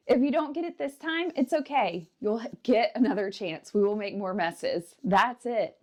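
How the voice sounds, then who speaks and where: Woman, elementary teacher, upbeat, practical, mid-pitched voice, talks straight to fellow teachers. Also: darkish tone. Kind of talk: classroom tips talk to camera.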